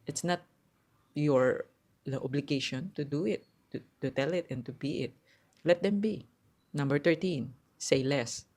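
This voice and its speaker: A middle-aged woman with a low, hard, tight voice, talking through a numbered list of life advice in an earnest, direct way with loose phrasing. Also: measured pace, a little mellow. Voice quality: low, hard and tight voice, mistaken for a man's on the phone. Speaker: self-help vlogger. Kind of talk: casual advice talk, counting down a numbered list. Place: quiet spot at home.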